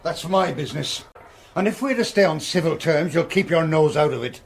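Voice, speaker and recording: Domineering self-made English businessman, gravelly, raised pitch, scornful, blunt, period radio drama, faint background noise. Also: expressive, brisk. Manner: brusque, sardonic, forceful.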